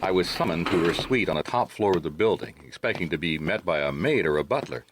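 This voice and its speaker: An actor playing a wry, easygoing private detective in a radio drama, talking in a dry, casual hardboiled style. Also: male voice, low-pitched, animated, quick pace, slight background noise. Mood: wry narration.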